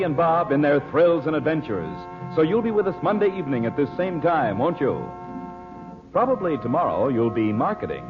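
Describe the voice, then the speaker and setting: A dull vintage radio recording with music playing behind a male announcer. He is polished and coaxing, swinging his pitch in an animated way as he sells to the listener.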